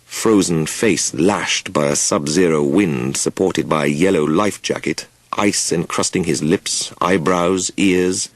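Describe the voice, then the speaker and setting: A man reading a first-person story as an audiobook narrator, with measured, deliberate phrasing and dramatic pauses. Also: sits low, no echo, rough recording.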